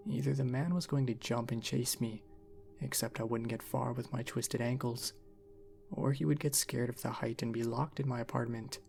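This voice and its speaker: A man narrating a story in an ASMR style, reading in a measured, unhurried way. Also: medium pitch, fairly even intonation, creaky, darkish tone, faint music behind.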